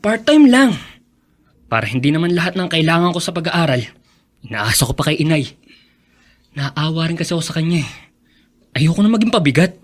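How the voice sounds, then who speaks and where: A man acting a caring, earnest young college son who is quietly hurt, in a Filipino radio drama with a Filipino accent. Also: a little high, big pitch swings, a little fast, long pauses. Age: young adult.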